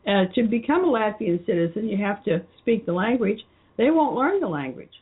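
A woman hosting her own show, talking through an unscripted commentary in a halting way with many fillers and restarts. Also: medium pitch, big pitch swings, breathy edge. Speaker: show host. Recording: muffled phone-line audio.

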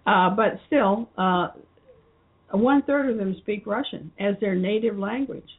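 A woman hosting her own show, talking through an unscripted commentary in a halting way with many fillers and restarts. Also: medium pitch, breathy edge. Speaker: show host. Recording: muffled phone-line audio.

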